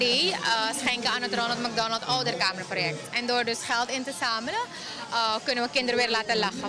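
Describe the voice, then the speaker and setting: A young woman from Suriname, a PR officer, explaining things chattily with fillers into a handheld mic in a busy restaurant. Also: a little high. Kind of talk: on-site interview. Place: busy fast-food restaurant, indoors. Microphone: handheld reporter mic. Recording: noisy crowd and music behind. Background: crowd chatter and music.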